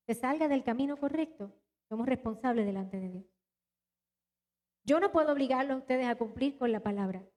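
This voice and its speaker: Animated high-voiced woman pastor. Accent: mixed Latin American Spanish, little Puerto Rican. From Puerto Rico. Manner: animated, talks straight to the congregation. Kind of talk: live church sermon and announcements. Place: church hall.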